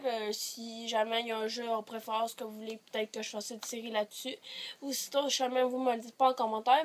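A teenage woman with a Quebec French accent, chatting to camera in her bedroom, rambling with many hesitations, a little congested from a cold. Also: on the high side. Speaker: vlogger. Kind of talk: casual chat to camera. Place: bedroom, sitting on a bed. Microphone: device camera at short distance.

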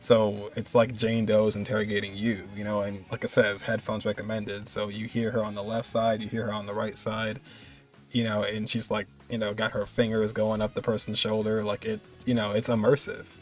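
A man's voice: on the low side, monotone, fairly quick, soft background music. A man giving casual, rambling, enthusiastic reaction commentary as a video host. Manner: rambling, enthusiastic, repeats himself.

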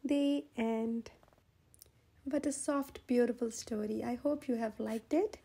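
A woman reading a children's picture book aloud in an expressive, gentle storytelling manner. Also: a little high, takes it slowly, slightly rough. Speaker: storyteller, channel host.

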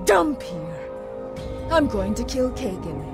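A woman's voice: fairly high pitch, big pitch swings, takes it slowly, over music, heavily acted. A woman playing a vicious, bitter, murderous vampire in a video game cutscene, scornful and venomous.

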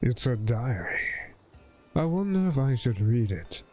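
A man's voice: mid-range voice, very animated, a little slow, mellow. A man giving casual, slangy, playful commentary as he plays a video game. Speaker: gaming host. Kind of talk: casual let's play commentary. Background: game music.

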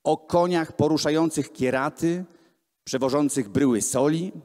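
A man in his thirties reading children's books aloud theatrically and deliberately into a handheld microphone at a live event. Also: a little high, a little mellow. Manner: theatrical, deliberate storytelling with voiced dialogue. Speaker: reader at a gallery event. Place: large gallery hall with hard floor, indoors. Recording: echoey hall, amplified voice filmed from the room.

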